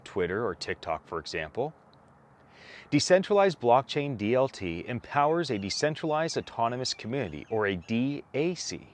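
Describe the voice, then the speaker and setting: Man reading aloud with lively pitch swings. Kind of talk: read-aloud scripted narration.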